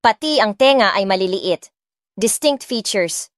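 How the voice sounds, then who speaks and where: A woman's voice: medium pitch, expressive, a little slow, dry recording. Filipino woman narrating, close and dry. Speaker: audiobook narrator. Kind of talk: dramatic first-person scripted narration. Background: soft music.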